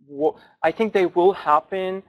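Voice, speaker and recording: A man, a language researcher, answering questions at an academic forum in a thoughtful way with frequent pauses and restarts. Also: sits high, highs cut off. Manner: thoughtful, many fillers and restarts.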